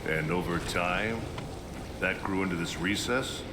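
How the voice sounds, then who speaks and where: An actor playing a gruff, plain-spoken adventurer in a video game, with a blunt, casual delivery. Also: male voice, sits low, measured pace, background noise. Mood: curious, puzzled. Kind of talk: video game cutscene acting. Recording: loud music drowning the voice.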